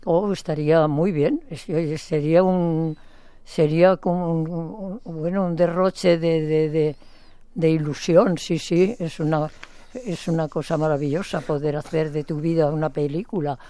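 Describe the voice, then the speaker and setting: A woman's voice: deep voice, fairly even intonation, measured pace, mellow, smooth, no echo. An older woman from Spain giving a chatty, playful interview, rambling and restarting her sentences often. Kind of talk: casual phone interview.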